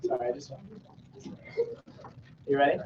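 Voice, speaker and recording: A man's voice: a little slow, darkish tone. Young man, student officer, joking, roomy echo, noisy background. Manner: joking, loose, filler-heavy. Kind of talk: casual meeting chat and announcements. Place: meeting room. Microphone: room mic from afar. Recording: distant, roomy, dull, noisy.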